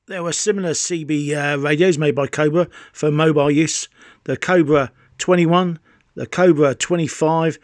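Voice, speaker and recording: A man's voice: a little high, dry recording, studio quality. A man in his fifties presenting a hobby showcase in an enthusiastic, savouring, exclamatory way. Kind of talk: enthusiastic product showcase narration.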